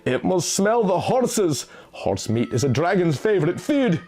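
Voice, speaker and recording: A man's voice: sits high, sing-song, a little slow, a little mellow, voice pushed up, heavily acted. A man voicing a wary, commanding griffin guide in a fantasy story, urgent and cautioning. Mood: alarmed.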